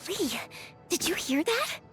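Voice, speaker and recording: Casting: impulsive young female fighter for a game, high, very lively intonation, teasing and exclaiming. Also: slow pace, faint music behind, exaggerated voice. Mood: casual, gentle. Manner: expressive, exclaiming, informal. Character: hot-headed, teasing young woman warrior, impulsive and good-hearted. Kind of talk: video game voice acting.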